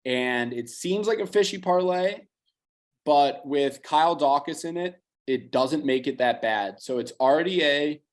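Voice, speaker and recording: A slightly hoarse man co-hosting a podcast, running through picks in quick, analytical chat and dropping the odd curse. His voice sits at an ordinary middle pitch. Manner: fast, analytical, casual swearing. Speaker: podcast co-host. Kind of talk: casual betting chat.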